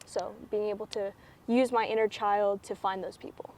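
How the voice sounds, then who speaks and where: Teen girl guest, reflective, filler-heavy, mid pitch, light ambient noise. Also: level tone, normal speed, darkish tone. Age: teens. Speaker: student athlete guest. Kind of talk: candid interview answers. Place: school campus, indoors.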